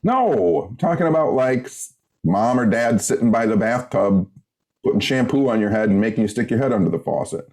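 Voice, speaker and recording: A man's voice: fairly low pitch, lively intonation, a little fast, a little mellow. A man in his fifties co-hosting a podcast, rambling through memories in hesitant casual talk full of "like". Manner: rambling, nostalgic and hesitant, lots of "like". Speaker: podcast co-host. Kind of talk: casual banter.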